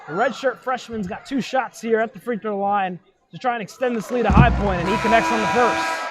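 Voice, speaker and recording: A young man giving excited, projected live radio play-by-play of a basketball game. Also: high voice, a little fast. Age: young adult. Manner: excited, projected, often shouting calls. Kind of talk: live radio sports commentary. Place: courtside in a basketball arena. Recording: loud crowd and gym noise behind. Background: crowd, bouncing ball, gym noise.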